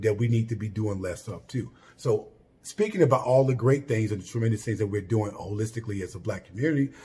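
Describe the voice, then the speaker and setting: A man hosting his own podcast, talking alone about why he started the show. He has a loud voice and speaks earnestly and with emphasis, often restarting and repeating his phrases. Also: mid-range voice. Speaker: podcast host. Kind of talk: solo podcast monologue.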